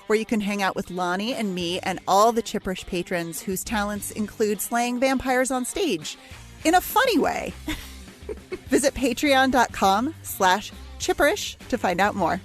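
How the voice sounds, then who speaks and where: A woman's voice: mid-range voice, lively intonation, normal speed, faint music behind. A woman chatting casually as a podcast co-host, informal and amused in manner. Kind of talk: casual chat about a TV show.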